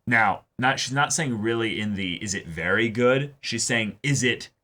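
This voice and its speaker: Bright-voiced man in animated chat. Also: fairly low pitch, moderate pace. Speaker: co-host reacting to an anime. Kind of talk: casual discussion.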